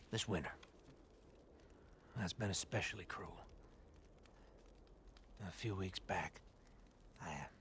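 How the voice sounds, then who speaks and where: An American man, middle-aged, playing a soft-spoken, placating survivor leader in a video game, with a hesitant, folksy, persuasive manner. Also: sits low, slight background noise, exaggerated voice. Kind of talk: naturalistic cinematic video game acting.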